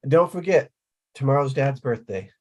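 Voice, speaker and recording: A man's voice: mid-range voice, expressive, normal speed, a little mellow, natural voice. A man playing a geeky, vulnerable young older brother, awkward, hesitant and hopeful, in a sitcom table read. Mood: resigned, wry.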